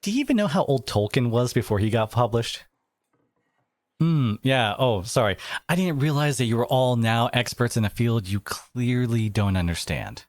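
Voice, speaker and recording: A man playing a devoted, protective boyfriend in a dramatic roleplay audio, speaking emphatically with sarcastic edges and dramatic pauses. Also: medium pitch, lively intonation, a little mellow, no room sound, close to an everyday voice. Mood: indignant, sarcastic.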